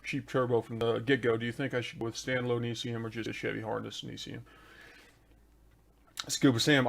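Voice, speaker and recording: A man hosting a live stream, chatting casually and answering viewers' questions one by one into a desk microphone. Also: medium pitch. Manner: answers viewer questions on engine tuning, laid-back and chatty. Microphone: desk microphone, adjusted mid-show.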